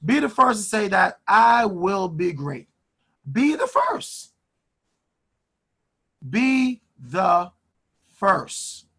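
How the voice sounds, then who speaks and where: Gravelly man hosting a video call, slow emphatic motivational delivery. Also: sits high, wildly swooping pitch, sharp tone. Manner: emphatic, repeats phrases for effect. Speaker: host and finance mentor. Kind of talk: motivational speaking on a live call.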